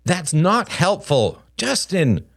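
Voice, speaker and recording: A man's voice: high voice, very animated, slow pace, vocal fry, fairly bright tone, breathy edge, pitched above the performer's own voice, exaggerated voice. A man voicing an irritated mother in an audiobook story, snapping and scolding with heavy sarcasm.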